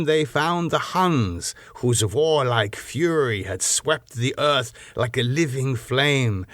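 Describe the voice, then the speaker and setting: Proud ancient vampire nobleman: boastful, declamatory gothic storybook villain, measured pace, dry close sound. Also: medium pitch, dry recording. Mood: proud, fiery. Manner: grandiose, declamatory, rhetorical questions. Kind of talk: dramatic gothic audiobook character reading.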